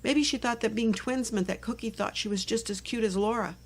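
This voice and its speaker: A woman reading a children's chapter book aloud as narrator, in clear, simple phrases meant for young listeners. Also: a little high, fairly quick. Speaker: storybook narrator.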